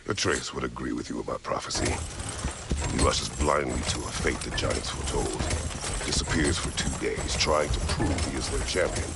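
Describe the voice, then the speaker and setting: A hugely deep, gravel-throated warrior father, stern and weary. He speaks in terse, formal, grave phrases in a video game drama, with loud game noise behind him. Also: steady tone, moderate pace. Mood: grave, disapproving.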